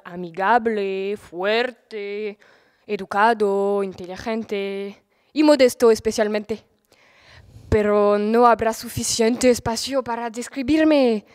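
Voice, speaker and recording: A woman's voice: medium pitch, steady tone, slow, darkish tone, clear, resonant tone, no room sound, clean studio sound, close to an everyday voice. A woman acting the part of a vain, lovesick middle-aged Spanish knight, pompous and boastful, in a student radio comedy.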